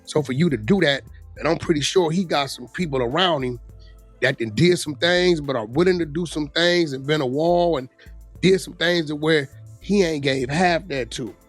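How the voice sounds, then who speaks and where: A man's voice: a little high, moderate pace, no echo. A man chatting casually and bluntly, with emphasis and a lot of slang. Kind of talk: casual opinionated chat about street gossip. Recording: music playing under the voice. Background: music.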